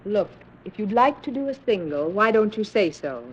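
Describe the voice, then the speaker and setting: An actress playing a fiery, indignant nightclub singer with a sharp, scolding delivery in old-time radio style. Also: female voice, medium pitch, sing-song, normal speed, lightly acted. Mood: curt irritation. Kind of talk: 1940s-50s radio drama acting. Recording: dull old radio recording.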